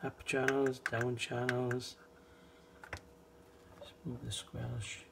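A middle-aged British man giving a casual, unhurried and low-key hobbyist demonstration in a conversational way. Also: little pitch movement, creaky, heavy background noise. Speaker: hobbyist video host. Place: small indoor space, shed.

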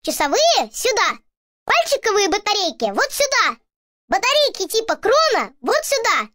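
A woman's voice: very high voice, huge pitch swings, normal speed, sharp tone, dry recording, studio quality, full character voice. A woman voicing a tiny, cheerful cartoon boy creature who guides children through an educational game in a chirpy, encouraging way. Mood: eager, explaining.

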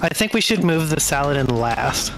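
A man's voice: a little high, animated, moderate pace, poor audio. A man talking casually through tactics as a player in a tabletop game. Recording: music playing behind the voice. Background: background music.

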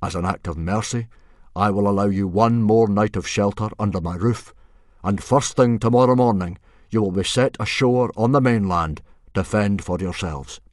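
A man's voice: fairly low pitch, moderate pace, no echo, studio quality, natural voice. A man doing a grim bellowing Viking chief. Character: grim burly Viking chief, middle-aged father.

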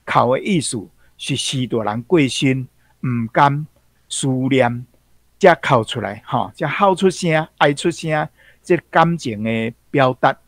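A man's voice: mid-range voice, animated, a little slow, fairly bright tone, dry recording. A man with a Taiwanese accent explaining vocabulary as a guest teacher on a programme. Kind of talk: explanatory commentary.

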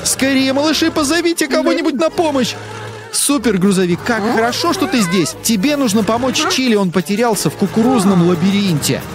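An actor voicing an excitable, friendly narrator of a children's truck cartoon, exclaiming and talking straight to the characters and little kids. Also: mid-range voice, fairly quick, natural voice. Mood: alarmed, urgent. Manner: exclamatory, addresses characters and small children directly. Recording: music behind the voice.

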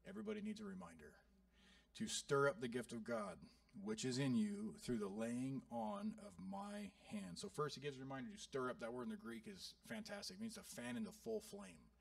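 Guy pastor telling jokey stories in a sermon, fairly high voice, swoops around a lot. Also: vocal fry, no echo, far from the mic. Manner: animated, joking storyteller. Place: church sanctuary.

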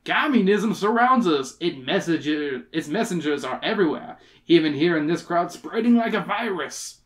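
A bombastic male soapbox speaker, played by a man reading aloud, pompous and alarmist. He declaims like an old-fashioned orator in a storybook, with a fairly high voice for a man. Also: expressive, moderate pace, lightly acted. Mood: alarmist preaching. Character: bombastic male anti-communist street speaker, preachy and alarmist.

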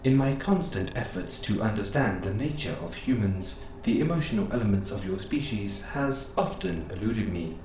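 A man playing a gentle, curious robot assistant who speaks in formal, precise phrases, close and intimate in an audio roleplay. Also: lowish voice, expressive, moderate pace, few pauses, natural voice. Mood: gently curious. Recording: roomy sound with clear background noise.

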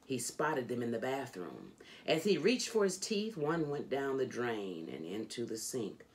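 Slow, lively woman reading a storybook. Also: a bass voice. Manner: deliberate, emphatic storytelling. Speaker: storybook reader. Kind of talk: expressive children's read-aloud. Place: indoors, furnished home room.

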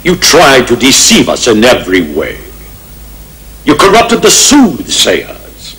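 Stern old king, commanding and slow, dubbed fantasy drama. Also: medium pitch, huge pitch swings, a bit bright, exaggerated voice. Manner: solemn, commanding. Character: stern old bearded king passing judgment.

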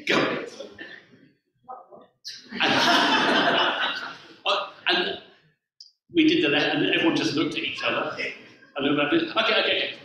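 Lively vicar in an echoey church. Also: male voice, high-pitched, far from the mic, a little hiss or hum. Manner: lively, playful, directing a congregation. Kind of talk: all-age sermon with games. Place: church hall with a lectern. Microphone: far camera on the floor.

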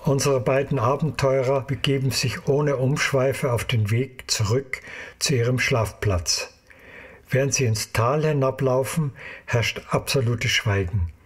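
A man's voice: medium pitch, a little slow, husky. A man narrating an audio drama in a scripted storytelling style.

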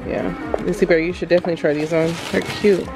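A woman's voice: lowish voice, fairly even intonation, fast talker, low-quality recording. A woman in her thirties vlogging casually, talking directly and familiarly to her viewers. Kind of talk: casual chatty vlog. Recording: music behind the voice. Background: background music.

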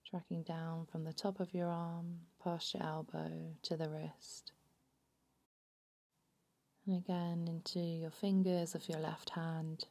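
A woman leads a guided meditation in a slightly low, very mellow voice. She speaks very slowly and soothingly, with phrases that settle into a hush. The delivery is unhurried and gentle throughout. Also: little pitch movement. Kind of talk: guided body scan meditation narration.

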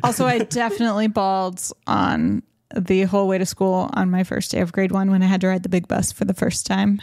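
A woman's voice: mid-range voice, animated, brisk, darkish tone, no echo. A young adult woman hosting a casual podcast, recapping a film in a chatty way and laughing often. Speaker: podcast host. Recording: dry close-mic podcast sound.

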